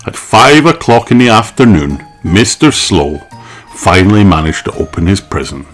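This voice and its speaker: A man's voice: deep voice, animated, moderate pace, faint music behind. A man reading a children's storybook aloud expressively, with theatrical emphasis on key words. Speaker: storybook reader.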